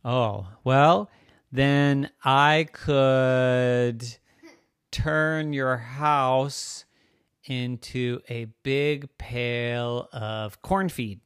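A man acts out a fairy cow for a children's bedtime story, eager and proud of itself. The voice booms loudly and lifts high, stretching words into long moos. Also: animated, no echo, clean studio sound. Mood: helpful, defensive. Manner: drawn-out mooing, loud booming exclamations. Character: eager, dim, boastful fairy cow with wings.

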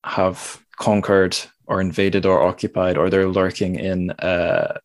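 A man's voice: deep voice, steady tone, unhurried. A man hosting a podcast, casually discussing literature in a rambling, self-deprecating way as he thinks aloud. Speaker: podcast host.

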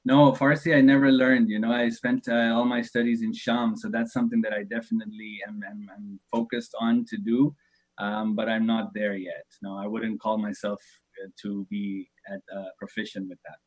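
A man's voice: on the low side, level tone, normal speed, rough recording. A man in his thirties, a religious teacher, answering interview questions thoughtfully, hesitating often and laughing easily. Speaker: shaykh, religious speaker.

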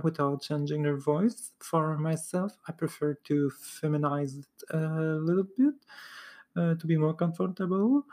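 A woman's voice: low voice, mellow. A woman from Montreal with a Québécois French accent, a native French speaker, hosting a solo podcast in English and talking hesitantly with many fillers and restarts. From Quebec, Canada. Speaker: podcast host.

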